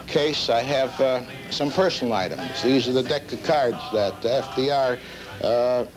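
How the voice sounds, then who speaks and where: Middle-aged man, American accent, medium pitch, formal and halting, music behind, old videotape sound. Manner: halting, formal, deliberate phrasing. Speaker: collector showing his collection. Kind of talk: informal show-and-tell. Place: cluttered indoor collection room.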